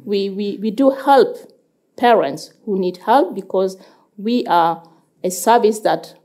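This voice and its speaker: A woman's voice: mid-range voice, animated, slow pace, dark tone. A woman on a discussion panel who works in child welfare, talking earnestly and often repeating words as she gathers her thoughts.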